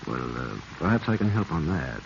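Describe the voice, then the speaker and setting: Shrewd, courteous foreign official, deep voice, polite formal delivery, dull and noisy old radio sound. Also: male voice, sing-song, gravelly, exaggerated voice. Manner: formal, polite, measured phrasing. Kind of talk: 1940s radio acting.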